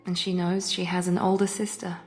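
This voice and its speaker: Guarded female agent played by a woman, slightly low voice, composed and reserved, faint game score behind. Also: little pitch movement, a little fast, faint music behind, natural voice. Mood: reserved, wistful. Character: cool, guarded human female operative, quietly grateful. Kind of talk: video game voice acting.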